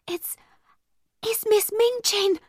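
A woman voicing a timid young servant girl in a story, breathless, excitable and deferential. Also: very high voice, slow, no echo, clean studio sound, voice pushed up. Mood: frightened.

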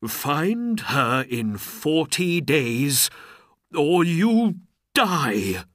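A narrator voicing an angry, imperious sultan making loud, threatening demands in a storybook. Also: medium pitch, very animated, extremely slow, no room sound, studio quality, voice pushed up, heavily acted.

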